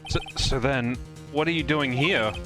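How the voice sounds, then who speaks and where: A woman's voice: rumbling low, measured pace, music in the background. A woman voicing a flustered, exasperated young male defense lawyer in a game fan dub. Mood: shocked.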